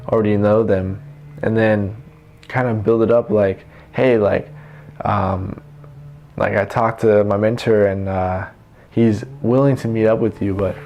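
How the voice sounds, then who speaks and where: A young man giving casual, unscripted advice in an informal, halting way with trailing thoughts. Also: lowish voice, darkish tone, dull audio. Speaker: vlogger giving self-help tips. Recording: noticeable background noise.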